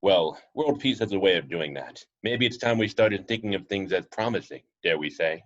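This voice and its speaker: A man playing a loyal, courteous butler with a polite, wry, measured manner in a superhero script read. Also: low-pitched, expressive, fairly bright tone. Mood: gently wry. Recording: dull lo-fi home recording.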